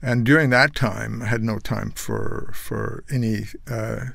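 A man's voice: on the low side, fairly even intonation, measured pace, gravelly, fairly bright tone, no room sound. A man in his sixties, raised in Canada, telling his life story in a casual interview, rambling with restarts and wry asides, on a studio mic. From Netherlands, raised in Canada. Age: 60s. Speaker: guest actor and painter. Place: small studio with purple curtain. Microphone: boom studio mic.

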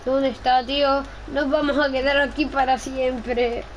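A woman's voice: sits high, level tone, measured pace. A woman chatting casually while gaming, insistent and often repeating her requests. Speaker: gamer. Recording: faint background noise.

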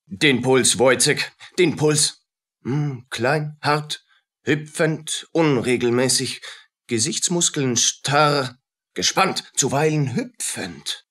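An actor playing a cold army doctor in a radio play, dictating findings in clipped, detached phrases. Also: male voice, medium pitch, takes it slowly, fairly bright tone, husky. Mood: clinical. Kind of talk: German radio play acting.

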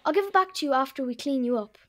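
A woman playing a headstrong, chatty young girl in an expressive children's audio drama. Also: sits high, very fast. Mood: friendly, bossy. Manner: expressive, emphatic.

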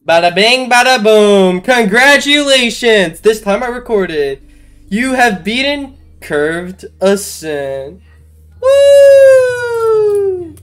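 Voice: medium pitch, big pitch swings, very slow, clear, resonant tone. A casual game commentator talking through a walkthrough in an informal way, with self-mocking asides. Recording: music behind the voice. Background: game music.

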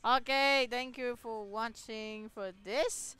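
A woman's voice: a little high, steady tone, slow pace, pushed and bright, clear, resonant tone. A woman giving dramatic, reactive let's play commentary, often repeating phrases and reading game text aloud. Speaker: gaming streamer. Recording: music behind the voice.

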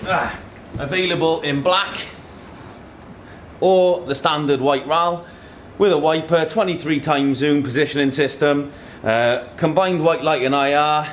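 A man's voice: a little high, measured pace. A man in his thirties hosting a casual tech unboxing, speaking in short phrases as he handles boxes and products. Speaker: host of a tech unboxing video. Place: indoor office meeting room. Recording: echoey room, background noise and handling clatter.

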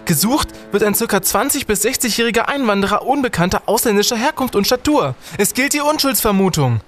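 A man's voice: high voice, expressive, brisk, faint music behind. A young man playing a brainy, self-important student detective in an audio drama, speaking emphatically. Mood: excited. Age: young adult. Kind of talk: German audio drama in youth detective style.

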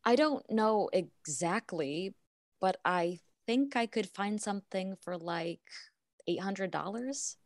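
An actress with an American accent playing a dissatisfied, vain young wife in a modern radio play, speaking in casual slang. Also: female voice, medium pitch, expressive, slow, dry recording. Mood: hesitant.

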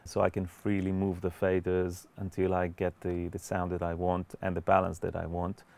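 Give this to a man in his forties with a very deep voice and a lot of fry in it. He explains mixing like a studio instructor, in measured, slightly hesitant phrases. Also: monotone, a little mellow. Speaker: music producer and mixing instructor. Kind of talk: explanatory webinar tutorial. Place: indoor recording studio.

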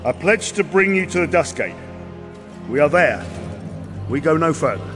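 A weary, wary mercenary captain played by a man talks bluntly in a fairly high male voice, used to giving orders. Music runs behind him in this fantasy audio drama. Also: lively intonation, moderate pace, lightly acted. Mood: wary, resigned. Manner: plain-spoken, gruffly commanding.

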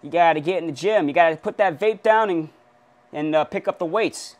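A man's voice: a little high, a little fast, lo-fi sound. A young man trolling strangers on a random video chat, mock-tough and boastful. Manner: mock-tough, teasing, gym-bro boasting. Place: small pink-walled bedroom, indoors.

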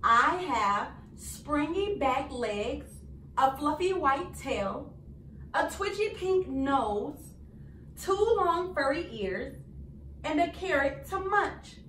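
Woman, children's storyteller, high animated pitch, drawn-out deliberate phrasing, roomy distant recording, faint noise. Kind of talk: picture book read-aloud for young children. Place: small indoor room.

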